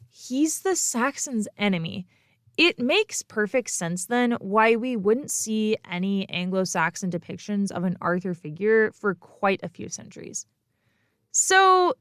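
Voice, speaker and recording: Woman host, history podcast, lively swooping pitch, playful and emphatic, dry close mic. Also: dry recording. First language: English. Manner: playful, emphatic, with little laughs. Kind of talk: scripted history lecture podcast.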